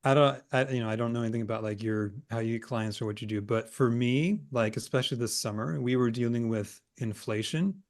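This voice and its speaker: A man's voice: medium pitch, brisk, hardly any pauses. A man around forty, a guest on a podcast, talking about his work in an earnest, upbeat and encouraging way. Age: 40s. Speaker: guest, editor and agency owner. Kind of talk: casual interview talk.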